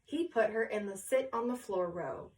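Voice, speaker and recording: A woman reading a children's story aloud with expressive, deliberate phrasing, pausing to question her listener. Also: mid-range voice, far from the mic.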